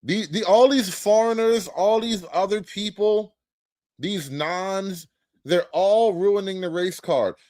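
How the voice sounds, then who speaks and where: A man's voice: high voice, slow, a little mellow, dry recording. A man giving casual reaction commentary on a video, blunt, profane and mocking.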